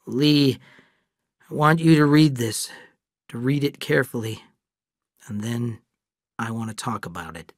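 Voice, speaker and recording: A man voicing a grave, widowed father of twins who speaks in a measured, earnest way. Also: medium pitch, no room sound, clean studio sound. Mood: solemn.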